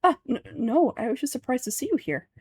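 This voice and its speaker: A woman's voice: high-pitched, big pitch swings, fast talker, a little mellow, breathy edge, no echo, clean studio sound, exaggerated voice. A woman voicing a proud, easily offended young student in a fantasy game, stammering and earnest. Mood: flustered.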